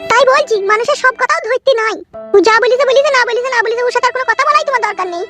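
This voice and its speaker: A woman's voice: very high-pitched, racing, over music. A woman voicing an indignant, preachy village mother-in-law in a cartoon, with a scolding, insistent way of talking. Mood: lecturing. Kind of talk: Bengali cartoon acting.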